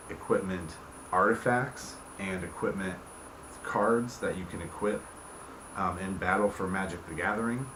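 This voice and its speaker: A man giving an informal explanation as host, often checking in with the listener with small tag questions. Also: low voice. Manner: checks in often with "okay", "right", "you see what I'm saying". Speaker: host, game creator explaining rules. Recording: roomy echo, clear background noise.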